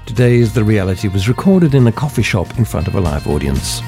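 Expressive male radio show host. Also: lowish voice, a little fast, over music. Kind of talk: scripted radio introduction.

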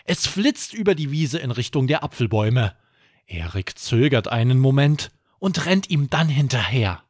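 A man narrating a scripted children's bedtime story as podcast host, with expressive, dramatic delivery. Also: medium pitch, moderate pace, no echo, clean studio sound.

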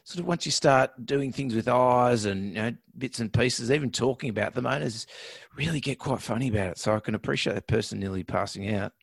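Mid-pitched man, fairly monotone. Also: fairly quick, vocal fry, slightly rough, no room sound. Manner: relaxed, reflective, fairly monotone. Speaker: veterinarian podcast host. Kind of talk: casual chat.

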